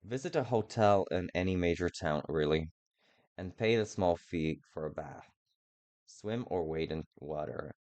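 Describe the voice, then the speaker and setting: A man's voice: deep voice, expressive, a little slow, darkish tone. A man doing casual, rambling gameplay commentary with playful, self-mockingly silly asides as he streams a video game.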